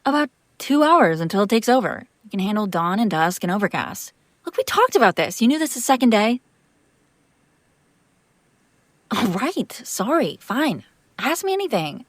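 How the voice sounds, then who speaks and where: A woman's voice: mid-range voice, very animated, moderate pace, dry recording. A woman playing a female vampire master, an exasperated but protective caretaker, in a conversational, sardonic audio roleplay. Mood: exasperated, defensive. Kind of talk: intimate audio roleplay acting, one side of a conversation.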